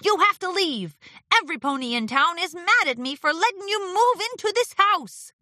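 A woman acts out a male pony businessman from a storybook. He grumbles and presses his complaint with smug frustration. The voice is high, bright and very animated. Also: moderate pace, no echo, clean studio sound, exaggerated voice. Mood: grumbling. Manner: grumbling, insistent.

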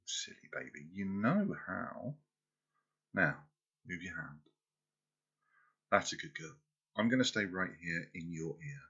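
A man performing an intimate audio roleplay, speaking softly and teasingly as if close to the listener's ear. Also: low-pitched, animated, unhurried, pauses often, slightly rough, dull audio.

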